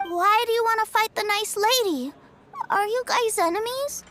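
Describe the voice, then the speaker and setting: A woman's voice: very high voice, moderate pace, a bit bright, a little hiss or hum. A woman playing an innocent, eager, adoring little brother with a childlike voice in a video game. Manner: eager, guileless. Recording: game audio with music behind.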